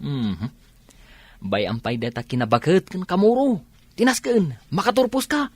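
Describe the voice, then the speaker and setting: An actor with an Ilocano Filipino accent playing a sly, teasing confidant in a radio drama. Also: male voice, fairly high pitch, animated, normal speed, slightly rough, no room sound.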